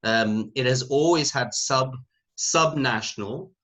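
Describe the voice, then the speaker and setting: A man's voice: medium pitch, a bit bright. British male academic panelist, middle-aged. Unscripted, reflective delivery, feeling for words as he goes. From United Kingdom. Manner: reflective, halting, thinking aloud.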